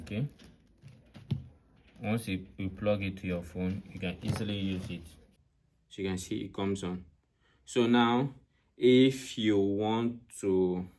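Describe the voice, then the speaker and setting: A man's voice: fairly low pitch, a little mellow. A man presenting an unscripted product demonstration, explaining step by step with repetition and often checking that viewers follow. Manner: frequently checks understanding with short questions. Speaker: tutorial presenter.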